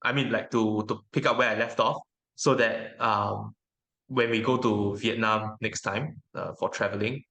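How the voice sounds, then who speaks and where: A man whose native language is Mandarin, giving casual interview answers as a guest in a reflective, hesitant way with frequent pauses. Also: lowish voice, fairly even intonation, pushed and bright. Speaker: interview guest, tabletop game educator.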